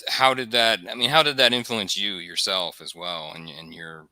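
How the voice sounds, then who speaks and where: A man's voice: deep voice, fairly even intonation, normal speed, sharp tone, no room sound. A man from the United States hosting an interview on a video call, asking rambling questions and apologizing as he goes. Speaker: host.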